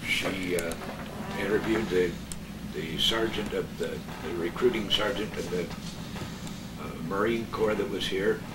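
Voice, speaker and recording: Older male guest, lowish voice, halting casual storytelling, very noisy echoey recording. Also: fairly even intonation. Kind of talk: casual anecdote telling. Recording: very noisy, echoey, distant mic.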